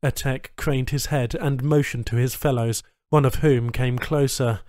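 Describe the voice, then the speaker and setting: A man reads a story as an audiobook narrator. His pitch is middling for a male voice, and the recording is close and dry, with no room sound. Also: fairly even intonation, unhurried, darkish tone, no room sound.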